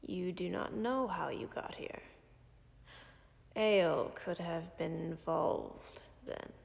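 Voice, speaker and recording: A woman voicing a calm, ancient female dragon who tends a wounded human, speaking in a whispery, gravelly, measured and formal way in an audio roleplay. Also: fairly low pitch, in a large hall, full character voice. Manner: measured, formal, dryly commanding.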